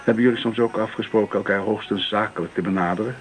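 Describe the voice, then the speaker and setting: Acteur als deep-voiced avuncular village pastor. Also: male voice, steady tone, soft background music, close to an everyday voice, low-quality recording. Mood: reassuring, knowing. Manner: paternal, knowing, measured. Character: avuncular older male village pastor, teasing and reassuring. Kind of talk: Dutch radio drama acting.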